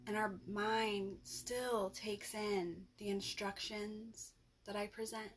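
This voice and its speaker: A woman guides a relaxation meditation at a very slow pace, leaving long pauses between gentle instructions. Her pitch sits in the middle range for a woman. There is clear noise in the background of the recording. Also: flat delivery. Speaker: yoga nidra instructor.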